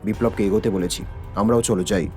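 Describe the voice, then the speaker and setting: Man playing a low, cool-headed police detective. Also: fairly even intonation, warm tone, faint music behind, natural voice. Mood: calm, assured. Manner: cool, measured, commanding. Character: calm, authoritative male police detective, cryptic and philosophical. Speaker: actor in an audio story. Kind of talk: Bengali audio detective drama acting.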